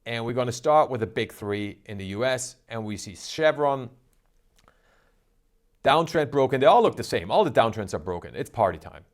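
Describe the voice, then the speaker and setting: A man's voice: mid-range voice, lively intonation, moderate pace. A man hosting a stock trading show, talking in an upbeat, emphatic, salesy way. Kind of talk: energetic market commentary.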